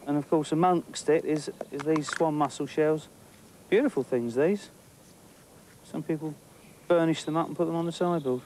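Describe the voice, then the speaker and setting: Middle-aged english guy by a lake, chatty and keen, a bit high-voiced, faint outdoor hiss. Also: steady tone, normal speed, darkish tone. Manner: chatty and enthusiastic, thinking aloud with small restarts. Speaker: angling presenter. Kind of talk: on-location nature commentary while fishing. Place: outdoors at a tree-lined lake bank.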